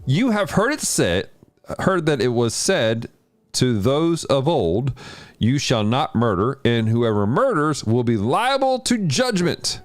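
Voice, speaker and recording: A man hosting a livestream of video games and Bible study, chatting casually with viewers and teaching in an animated way. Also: slow, dry recording. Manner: animated, jumps in pitch when greeting chat. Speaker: host of a gaming and Bible study stream.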